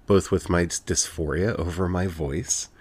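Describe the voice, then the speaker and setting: Very deep, earnest podcast host. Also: steady tone, moderate pace, darkish tone, slightly rough, dry recording. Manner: earnest and heartfelt, frequent "you know" fillers. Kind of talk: reflective solo podcast monologue.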